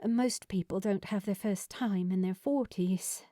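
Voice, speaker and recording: A woman's voice: medium pitch, measured pace, mellow, dry recording, clean studio sound, close to an everyday voice. A woman voicing a shy, awkward woman in her forties who speaks hesitantly and apologetically in a narrated story. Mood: embarrassed.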